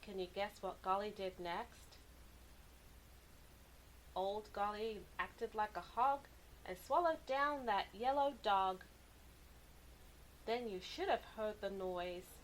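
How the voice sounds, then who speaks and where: A woman reading a children's story aloud with animated, deliberate, dramatic expression. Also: medium pitch, slight background noise, low-quality recording. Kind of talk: expressive picture-book narration for kids.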